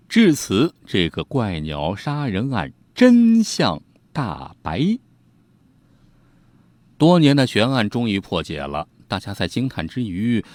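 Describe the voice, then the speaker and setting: A man telling old tales informally, in an animated, colloquial way with teasing asides to his listeners. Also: sits low, no echo. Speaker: storyteller, host. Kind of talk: informal storytelling narration of old ghost tales.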